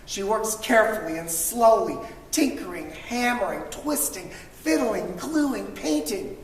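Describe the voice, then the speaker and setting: A woman reading a children's picture book aloud to school pupils, expressively, with dramatic emphasis and drawn-out words. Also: medium pitch, lively intonation. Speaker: storybook reader for school children. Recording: distant mic, echoey reverberant room, faint noise.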